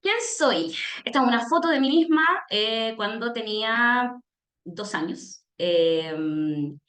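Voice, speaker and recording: A woman with a Chilean accent giving a personal talk in a reflective, explanatory way, often pausing on filler sounds as she thinks. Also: fairly high pitch, animated, a bit bright, reverberant. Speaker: speaker, social worker giving a talk. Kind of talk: personal testimony in a presentation.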